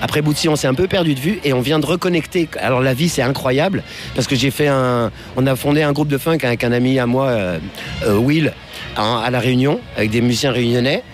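A man's voice: medium pitch, fast. A middle-aged man, a musician guest on radio, telling anecdotes in a casual, slangy, colloquial way. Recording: faint music under the voice.

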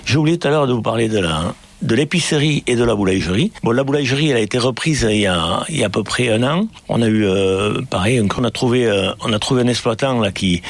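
A man, the mayor, answering interview questions in a halting way, with many fillers and restarts. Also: lowish voice.

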